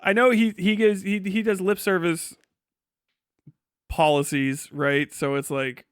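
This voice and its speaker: A man's voice: high voice, flat delivery, measured pace, smooth, no echo, clean studio sound. A man giving casual, opinionated livestream commentary in a blunt, sarcastic and profane way. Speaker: livestream host reacting to a debate video.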